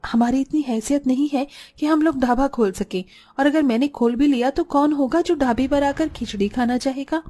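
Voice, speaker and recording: Casting: actress as modest young cartoon cook, polite, earnest, highish voice. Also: female voice, normal speed, warm tone, soft background music, close to an everyday voice. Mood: modest hesitation. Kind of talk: cartoon story acting.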